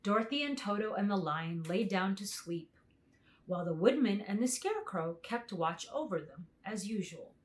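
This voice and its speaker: A woman reading a children's book aloud as a storyteller, with expressive narration. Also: medium pitch, normal speed, a little hiss or hum.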